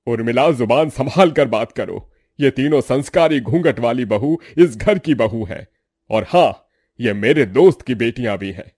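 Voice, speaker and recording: A man's voice: mid-range voice, expressive, normal speed, a little mellow, breathy edge, no echo. A man voicing a middle-aged father and family patriarch in a cartoon story, firm and emphatic. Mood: stern.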